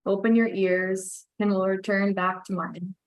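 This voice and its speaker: Flat-voiced woman guiding a breathing break. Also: medium pitch, poor audio. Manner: calm, unhurried instructions. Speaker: guided breathing leader. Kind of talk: guided relaxation.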